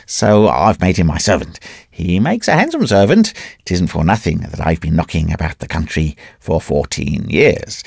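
A man's voice: sits low, gravelly, slightly rough, no echo, clean studio sound, full character voice. A man voicing a cheerful, boastful male adventurer who speaks placidly. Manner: placid, pleased with himself.

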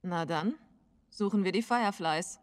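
A woman's voice: on the low side, normal speed, close to an everyday voice. An actress playing a hard-nosed female smuggler in a video game, curt and unyielding. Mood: businesslike, stubborn. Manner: curt, tough. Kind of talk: dramatic video game acting, German dub.

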